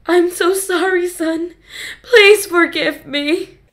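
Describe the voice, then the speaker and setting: A woman's voice: very high voice, takes it slowly, a bit bright, exaggerated voice. A woman playing a frail, ailing old mother, desperate and loving, pleading urgently in an audio drama. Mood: sorrowful.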